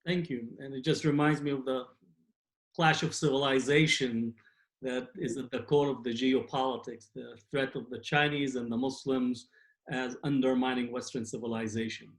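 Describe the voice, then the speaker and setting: A man's voice: mid-range voice, fairly even intonation, normal speed, low-quality recording. A man moderating a panel in an informal way, linking remarks and introducing speakers.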